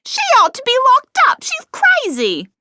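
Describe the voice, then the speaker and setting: Woman doing a shrill flirty brothel woman. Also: wildly swooping pitch, slow, bright, forceful tone, no echo, studio quality, exaggerated voice. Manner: coy and purring, turning to shrill squeals.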